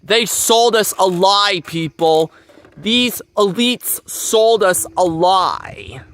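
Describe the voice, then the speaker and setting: A man's voice: high-pitched, animated, very slow, fairly bright tone. A man giving a rambling, informal monologue to viewers, repeating his phrases for emphasis. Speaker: vlogger.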